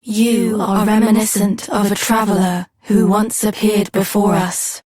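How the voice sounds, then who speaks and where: A woman's voice: mid-range voice, flat delivery, unhurried, darkish tone, no room sound, lightly acted. A woman playing a solemn, reverent maiden guardian in a fantasy video game, speaking in a formal, ceremonial manner. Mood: solemn, grave.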